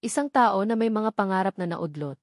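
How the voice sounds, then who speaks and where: A woman's voice: medium pitch, lively intonation, normal speed, dry recording, studio quality. A woman reading a scripted romantic drama story as its narrator.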